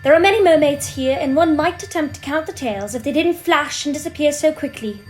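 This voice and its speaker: A woman narrating an audio drama as a measured, whimsical storybook narrator. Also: high voice, faint music behind, lightly acted.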